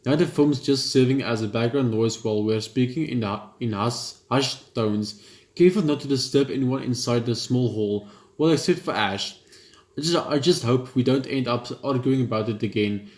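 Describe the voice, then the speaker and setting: A man reading a horror visual novel aloud in the first person, steady but often stumbling and restarting lines. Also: mid-range voice, moderate pace. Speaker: gaming channel host. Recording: roomy room with loud game music.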